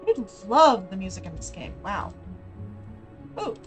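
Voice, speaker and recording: A woman's voice: mid-range voice, big pitch swings, measured pace, soft background music. A woman streaming herself playing a game, chatting casually with viewers, her voice strained and sore. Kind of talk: casual live gameplay commentary.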